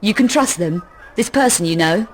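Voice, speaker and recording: A woman's voice: mid-range voice, lively intonation, moderate pace, a little hiss or hum, close to an everyday voice. A woman playing a self-assured, threatening young adventurer in a video game, speaking in a cool, dry, sardonic way. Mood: dry, skeptical. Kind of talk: video game cutscene voice acting.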